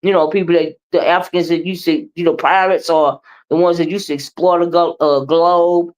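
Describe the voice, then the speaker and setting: A woman's voice: lowish voice, steady tone, moderate pace, a little mellow. An American woman hosting a livestream, chatting casually and emphatically and often checking in with listeners with short questioning tags. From United States. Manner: emphatic and conversational, often ends phrases with a questioning tag. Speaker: livestream host. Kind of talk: casual livestream commentary, responding to chat.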